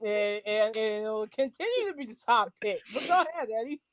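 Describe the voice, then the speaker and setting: Podcast panelist: woman, high voice, argumentative and emphatic, repeats her points, close dry sound. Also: lively intonation, brisk, no echo. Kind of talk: heated casual debate.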